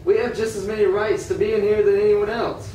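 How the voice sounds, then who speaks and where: A man acts out an earnest freed man in a puppet show, defiant and emphatic. The high voice comes from far off the mic, with clear noise around it. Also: flat delivery, a little fast, warm tone, lightly acted. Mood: indignant. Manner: emphatic, indignant. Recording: roomy, distant, noisy amateur recording.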